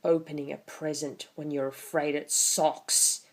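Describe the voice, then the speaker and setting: A woman's voice: deep voice, flat delivery, unhurried. A woman in her twenties hosting an online video, talking casually and reading a picture book aloud with theatrical emphasis. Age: 20s.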